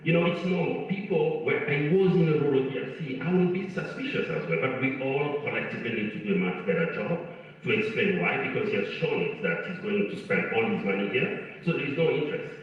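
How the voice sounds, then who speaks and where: A man's voice: medium pitch, expressive. Earnest man, echoey hall from afar. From Rwanda. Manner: earnest, halting with many restarts and fillers. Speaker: foundation leader, panel guest. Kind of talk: panel discussion answer. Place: large convention hall. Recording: echoey hall, far from the mic, dull sound.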